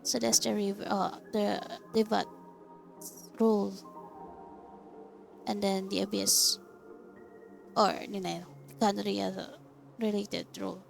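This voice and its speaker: A woman's voice: medium pitch, level tone, slow pace, vocal fry, long pauses, soft background music. A woman giving casual, reactive game playthrough commentary, musing aloud as she goes. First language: Filipino. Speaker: gaming video creator.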